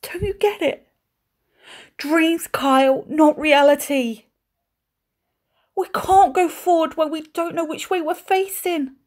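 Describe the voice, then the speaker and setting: A woman's voice: high-pitched, takes it slowly, long pauses, a little mellow. An actress playing an anguished woman turning down a lover in an emphatic, theatrical monologue. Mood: frustrated.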